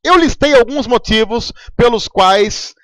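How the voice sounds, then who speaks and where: A Brazilian man preaching a sermon in a relaxed, conversational way, telling stories from his church. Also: very high voice, a little slow, dry recording. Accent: Brazilian Portuguese. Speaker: pastor.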